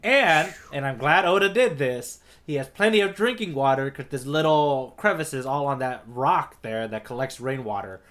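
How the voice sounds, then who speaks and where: A man's voice: medium pitch, lively intonation, normal speed, hardly any pauses, a bit bright. A man co-hosting a podcast, recapping a story informally and checking in with his co-host as he goes. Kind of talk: casual conversational story recap.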